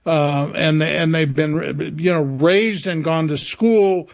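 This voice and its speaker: A man answering as a radio interview guest, halting and often searching for his words. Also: a little high, steady tone, creaky.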